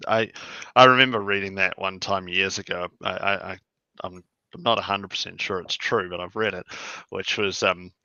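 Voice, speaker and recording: A man with a New Zealand accent hosting a casual interview chat, animated and often repeating words as he starts a thought. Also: low voice, normal speed, dry recording, lo-fi sound. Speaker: podcast host.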